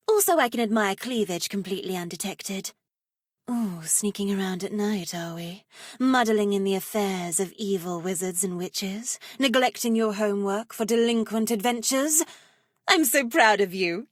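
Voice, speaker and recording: A wicked witch headmistress from a comedy game, with a medium-pitched voice and theatrical, arch delivery. She is deliberate and teasingly sinister, recorded very dry and close. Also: no room sound. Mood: sly, gleeful menace, then wry, teasing. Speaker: video game character voice. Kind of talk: scripted comedic game voice lines.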